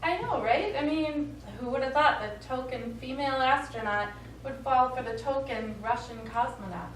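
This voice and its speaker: An actress playing a brash, excitable, sardonic astronaut in a stage play. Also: female voice, sits high, normal speed, lightly acted. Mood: sardonic, fond. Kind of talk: stage acting. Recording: echoey distant stage recording, faint noise.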